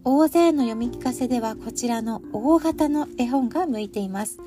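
A woman's voice: a little high, moderate pace, dark tone, soft background music. A middle-aged woman hosting a podcast alone, chatting politely and speaking directly to her listeners. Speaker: podcast host introducing picture books. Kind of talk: chatty solo podcast talk.

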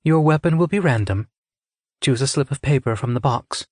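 A man's voice: medium pitch, animated, normal speed, darkish tone, no room sound, clean studio sound, voice pushed up, natural voice. A man voicing a cool, unyielding female official in a narrated story, formal, firm and detached. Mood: cool insistence.

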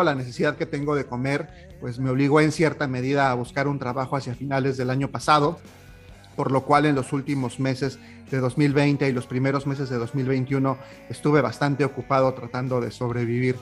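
A young man from Mexico with a Mexican Spanish accent, hosting a solo podcast in a chatty way, with a lot of "eh, pues". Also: mid-range voice. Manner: chatty, warm-hearted, with filler "eh, pues". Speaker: podcast host.

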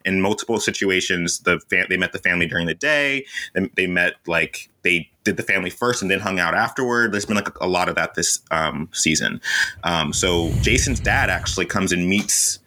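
Deep-voiced man, bright forceful chat. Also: fairly quick. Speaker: podcast host. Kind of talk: casual TV recap chat.

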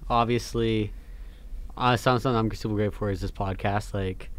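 A man's voice: fairly low pitch, fairly even intonation, a little fast. A young man co-hosting a faith podcast, talking in a rambling way with many restarts and fillers. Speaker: podcast co-host. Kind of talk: casual faith podcast chat.

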